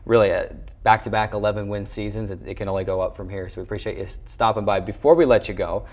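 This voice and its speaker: A man's voice: fairly low pitch, fairly even intonation, fast talker. A man hosting a sports show, interviewing in a conversational style.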